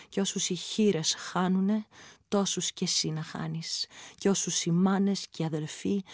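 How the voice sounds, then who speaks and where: A woman playing a defiant widow who curses in hushed, incantatory verse for a radio drama. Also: fairly low pitch, no echo, clean studio sound, pitched below the performer's own voice, natural voice.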